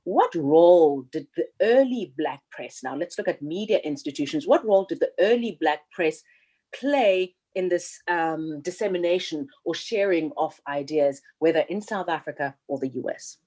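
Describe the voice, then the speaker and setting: A woman moderating a panel discussion, putting questions in a measured, formal and courteous manner. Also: fairly low pitch, expressive, warm tone, slightly rough.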